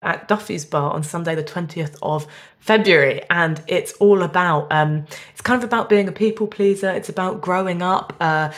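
A woman's voice: on the low side, normal speed. A young woman comedian chatting casually as a guest, jokey and self-deprecating. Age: young adult. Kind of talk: casual playful chat.

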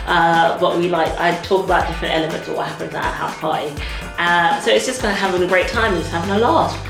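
A woman's voice: fairly low pitch, steady tone, fast, creaky. A woman comedian answering light questions in a casual promotional interview. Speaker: stand-up comedian. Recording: echoey room with music underneath.

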